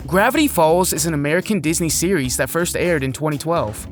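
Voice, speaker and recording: A man's voice: on the high side, animated, fairly quick. A man reading scripted narration for a list video, presenting facts and putting questions to the viewer. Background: music.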